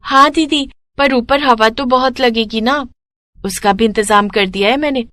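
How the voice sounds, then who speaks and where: Resourceful young daughter, voiced by a woman, persuasive and earnest, higher-than-average pitch, dry studio-like sound. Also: a little slow, pushed and bright, no room sound. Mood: reassuring. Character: resourceful, hopeful young adult daughter of a poor family.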